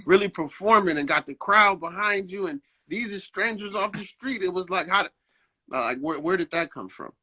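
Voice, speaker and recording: A man hosting a casual interview in slangy speech, haltingly repeating phrases as he builds his questions. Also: sits high, sharp tone, husky. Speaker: interviewer, host.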